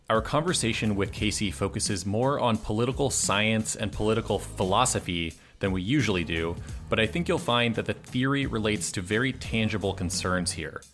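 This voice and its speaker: Podcast host, male, lowish voice, measured delivery, faint music under. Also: a bit bright. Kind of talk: scripted intro then casual interview.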